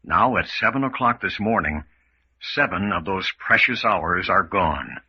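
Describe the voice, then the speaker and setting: A man narrates an old-time radio serial as its announcer. His voice is husky, with sweeping dramatic intonation. The recording is dull and lo-fi. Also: low-pitched, lively intonation, measured pace, pushed and bright.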